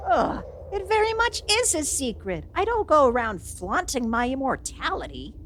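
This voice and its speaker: A woman's voice: high-pitched, big pitch swings, normal speed, fairly bright tone, slight background noise. A woman playing a proud, youthful immortal villain sorceress in a fantasy audio roleplay, theatrical, haughty and easily put on the defensive. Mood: defensive, indignant.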